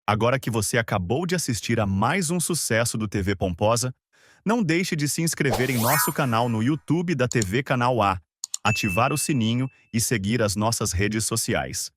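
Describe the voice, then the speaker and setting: A male announcer with a mid-pitched voice reading promotional narration. The sound is dry and close, with no room echo. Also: lively intonation, moderate pace.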